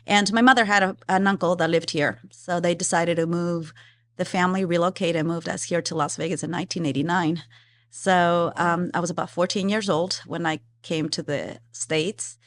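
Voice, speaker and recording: A woman's voice: lowish voice, fairly even intonation, moderate pace. A woman in her forties from Ecuador, a guest in a casual interview, chatting with frequent fillers and easy laughter. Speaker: guest, philanthropy executive.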